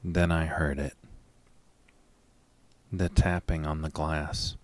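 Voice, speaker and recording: Male actor horror story narrator, deep low pitch, slow pace, anxious haunted man, deliberate suspenseful delivery. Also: flat delivery, darkish tone, faint music behind. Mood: tense dread. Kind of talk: scripted first-person horror narration.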